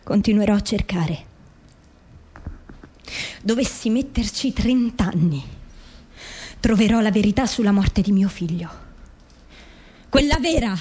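A woman's voice: medium pitch, lively intonation, a little slow, pauses often, mellow, husky. An actress playing a grieving, insistent mother seeking the truth about her dead son in a radio drama. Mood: resolute.

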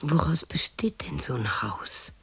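A lonely, embittered widow in her sixties in a radio drama. Her voice is very husky and heavily creaky, often sinking toward a whisper. She broods and talks to herself slowly and haltingly. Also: sits low, very animated, dry recording, full character voice. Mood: brooding, hushed. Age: 60s. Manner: muttering, brooding, erratic, halting. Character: lonely, embittered sixty-year-old widow talking to herself. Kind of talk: radio play monologue.

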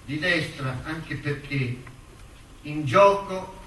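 A man's voice: on the high side, very slow, a bit bright. An actor playing a wry, bitter intellectual on trial, narrating his case in a pointed, articulate theatrical way. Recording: roomy, distant stage recording with noise.

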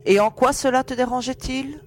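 An actress playing a sharp-tongued young woman crew member with a curt, demanding manner. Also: sits high, normal speed, a little hiss or hum, lightly acted. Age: young adult.

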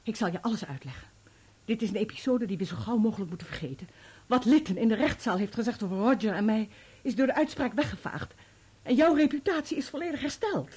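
An actress playing a remorseful, unfaithful wife in a Dutch radio play, speaking earnestly and persuasively. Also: female voice, fairly high pitch, animated, fast, darkish tone, breathy edge. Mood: persuasive, resolute. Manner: earnest, emotional, persuasive pleading. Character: unfaithful, remorseful young wife, pleading and resolute.

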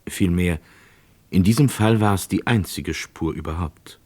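A man narrating a scripted true-crime radio drama in a measured, matter-of-fact way. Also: low-pitched, a little mellow.

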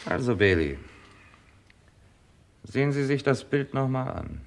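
A man playing a calm, insistent police inspector in a German radio crime drama, dry and clipped. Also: mid-range voice, a little slow, slight background noise. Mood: probing. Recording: vintage radio play, music behind.

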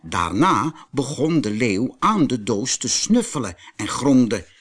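A man narrating a children's storybook in an expressive, deliberate manner. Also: medium pitch, husky.

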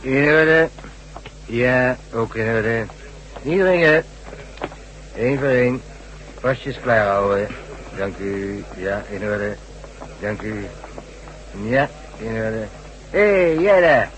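An officious gate guard in a radio drama. He is brisk and commanding, ordering people about in a medium-pitched voice. The recording has faint ambient noise. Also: lively intonation. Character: bossy gate guard checking workers' passes. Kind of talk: Dutch radio drama acting. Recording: faint noisy ambience, old radio play.

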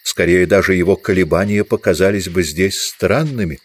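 A man's voice: sits low, moderate pace. A man reading scripted literary narration for an audio drama.

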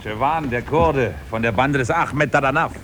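An actor playing a brutal, gloating robber who threatens and boasts in an old radio drama. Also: male voice, medium pitch, normal speed, lightly acted. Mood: gloating menace. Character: brutal, gloating Kurdish robber, coarse and menacing. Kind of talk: 1950s German radio drama acting. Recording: very noisy vintage 1950s radio recording.

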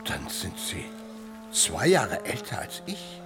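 A man's voice: low-pitched, wildly swooping pitch, takes it slowly, music in the background, exaggerated voice. An actor playing a courteous, reflective army colonel in his forties in a radio play. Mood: musing, troubled. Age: 40s. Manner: urbane, conversational, reflective. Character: courteous, musing infantry colonel aged forty-three.

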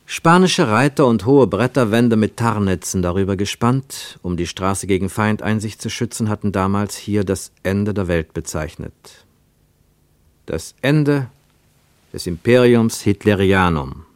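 A man narrating a literary radio play as a scripted storyteller, measured and expressive, with deliberate pauses. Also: lowish voice, no room sound.

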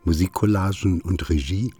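A man's voice: sits low, moderate pace, dark tone, husky, faint music behind. A man announcing a radio play in a scripted, formal credits style.

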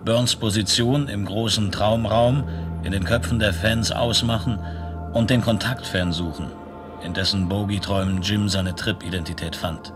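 A man narrating a science fiction radio play as a detached storyteller, in measured, clipped phrases. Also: lowish voice, close to an everyday voice. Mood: detached, intense. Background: music.

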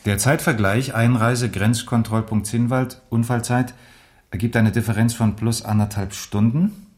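An actor playing a methodical police officer in a radio drama, reporting in a factual, clipped, official manner. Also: male voice, mid-range voice, steady tone, normal speed, a little mellow, close to an everyday voice. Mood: matter-of-fact.

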